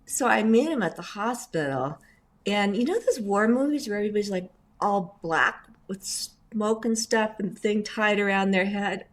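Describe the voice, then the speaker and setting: A middle-aged woman, raised in Indonesia, telling chatty stories with lively asides as a guest in an interview. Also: medium pitch, normal speed. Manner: chatty, animated storyteller with asides. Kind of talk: casual storytelling interview.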